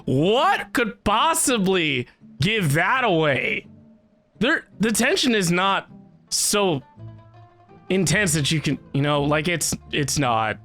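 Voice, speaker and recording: A man's voice: high voice, sing-song, takes it slowly, sharp tone. A man giving casual, emphatic reaction commentary as a game streamer, speaking informally. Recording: faint game music behind.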